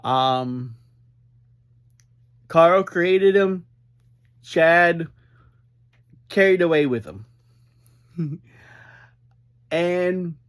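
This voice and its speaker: A man giving an informal, unscripted recap as a reviewer, speaking in halting, deliberate phrases with frequent breaks. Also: sits high, fairly bright tone. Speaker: reviewer and host of an episode review series.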